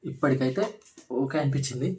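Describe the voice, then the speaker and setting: Mellow, halting Telugu man vlogging. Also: mid-range voice, a little hiss or hum. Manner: hesitant, halting, slips English words into speech. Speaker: vlogger presenting a product unboxing. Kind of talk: casual unscripted product walkthrough.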